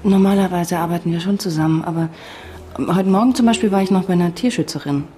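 A woman playing a guarded, self-questioning young reporter in a radio drama, at times in hushed, intimate asides. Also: fairly low pitch, quick pace, lightly acted. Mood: guarded, conversational. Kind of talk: radio drama acting with whispered inner monologue.

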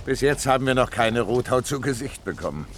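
An actor playing a dutiful, troubled cavalry major in a German radio play, speaking in a formal, stubborn military manner. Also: male voice, medium pitch, lively intonation, fairly quick, a little hiss or hum, lightly acted. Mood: stubborn resolve.

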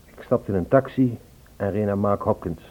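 A man's voice: fairly low pitch, moderate pace, lightly acted. An actor playing an earnest, lovesick man searching for a woman in an old Dutch radio play. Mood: wistful narration. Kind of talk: Dutch radio play, acting and first-person narration. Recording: tinny phone-like old radio recording.

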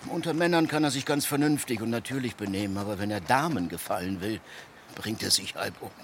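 An actor playing a blunt, irritable middle-aged gentleman with curt, sardonic speech. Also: on the low side, a little fast, slight background noise. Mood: dry. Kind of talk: radio play acting.

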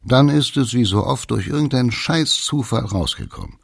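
A man's voice: mid-range voice, lively intonation, normal speed, creaky, husky, no echo, studio quality. A man telling candid stories in a blunt, colloquial, conversational way. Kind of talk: candid conversational storytelling about expat life.

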